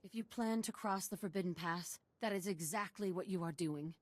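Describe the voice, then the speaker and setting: A woman's voice: lowish voice, moderate pace, no room sound, close to an everyday voice. Woman as an earnest, fearful desert guide. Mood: sorrowful, ashamed. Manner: earnest, dramatic, formal. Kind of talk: video game voice acting.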